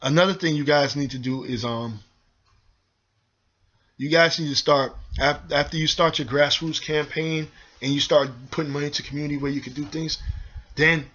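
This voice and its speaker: A man's voice: on the high side, fairly even intonation, normal speed, fairly bright tone. A man ranting heatedly to his viewers in a vlog, preachy and repetitive, calling on them directly as y'all. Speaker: video host. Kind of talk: impassioned vlog rant to viewers. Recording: dull lo-fi sound.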